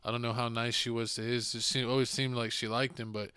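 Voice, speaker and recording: A man's voice: mid-range voice, flat delivery, fast talker, bright, forceful tone, clear, resonant tone, no echo, clean studio sound. A man co-hosting a podcast, giving casual commentary in an incredulous, speculative manner. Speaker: podcast co-host.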